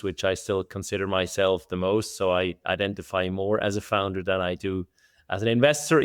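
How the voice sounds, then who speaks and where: Middle-aged Swiss founder, low and resonant, measured interview guest. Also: male voice, clear, resonant tone. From Switzerland. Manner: thoughtful, measured, with fillers and restarts. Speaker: venture studio co-founder, guest. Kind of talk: conversational podcast interview.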